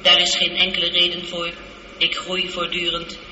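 An actress playing a calm, logical, seductive spaceship computer in a radio drama, speaking in a measured, precise and unemotional way. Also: female voice, on the low side. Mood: calm, factual. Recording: muffled, echoey, noisy old radio recording.

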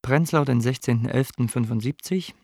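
Officious, gravelly theatre dramaturge. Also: male voice, medium pitch, normal speed, darkish tone, no echo, clean studio sound. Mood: cold, reproachful. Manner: officious, admonishing. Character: Kratz, hostile theatre dramaturge, officious, coldly admonishing. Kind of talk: radio drama, formal letter monologue.

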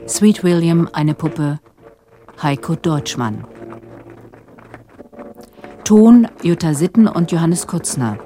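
A woman announcer formally reading out radio credits item by item, with measured pauses between them. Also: low voice, dark tone.

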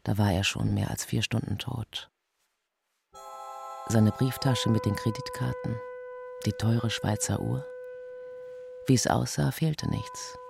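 Crime drama narration by a sober detective. The voice is mid-pitched with a gravelly creak, recounting things factually over clearly audible music. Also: male voice, wildly swooping pitch, takes it slowly, long pauses, exaggerated voice. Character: male police detective soberly recounting a murder case. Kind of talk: scripted first-person crime drama narration.

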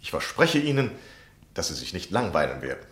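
Courteous gentleman journalist, low-pitched man, formal, flattering, vintage radio play. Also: fairly quick. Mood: gallant, eager. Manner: formal, gallant, polite. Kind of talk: 1960 German radio play acting.

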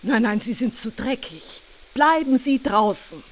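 An actress in a German radio play playing a talkative, simple, devout older housemaid who is naively money-minded. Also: female voice, on the high side, lively intonation, unhurried, slight background noise. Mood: wary, curt.

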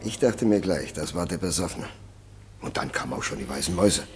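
A man's voice: sits low, lively intonation, brisk. An actor playing a plain-spoken, chatty milk-truck driver in his thirties in a German radio crime drama. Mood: chatty, low-key. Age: 30s. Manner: colloquial, chatty, down-to-earth.

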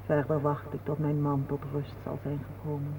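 Low-voiced gentle grieving mother. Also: monotone. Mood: calm, consoling. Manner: slow, patient, consoling. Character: gentle, serene older mother grieving her fallen soldier son. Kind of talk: solemn radio drama acting. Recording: muffled, noisy old radio play recording.

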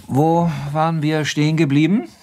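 An actor in a radio play, playing a wry, persistent drinking companion who coaxes and prods in short, dry replies. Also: male voice, a little high, little pitch movement, moderate pace, background noise, close to an everyday voice. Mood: polite, measured.

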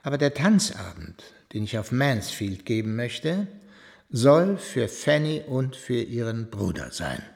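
An actor playing a formal, courteous, authoritative older uncle in a German radio play. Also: male voice, medium pitch, sing-song, a little slow, gravelly. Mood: decided, benevolent. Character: formal, dignified patriarch uncle, measured and decided.

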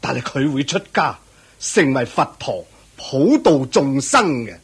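A man playing a humble, solemn elderly holy seer in a radio drama, grave and deliberate. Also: medium pitch, big pitch swings, husky, exaggerated voice. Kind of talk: Cantonese radio drama acting.

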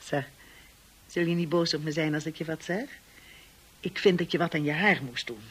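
A woman playing a blunt, upper-class sister-in-law in a radio drama, candid, brisk and probing. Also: low-pitched, a little hiss or hum, natural voice. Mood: confiding.

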